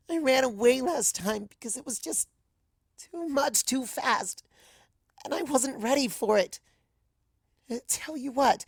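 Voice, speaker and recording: A woman voicing a meek, heartbroken young female pony in a storybook reading, earnest, apologetic and halting in her speech. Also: a little high, vocal fry, breathy edge, no room sound, studio quality, pitched above the performer's own voice. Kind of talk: dramatised fan fiction reading.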